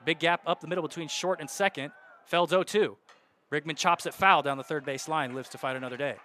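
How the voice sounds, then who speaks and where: A young male radio announcer calls a baseball game in a bright, fairly high voice, ticking off counts and runners in a steady flow. Faint outdoor ballpark noise sits behind him. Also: a little fast. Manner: steady count-and-situation narration, rising loud on hits. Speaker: play-by-play announcer.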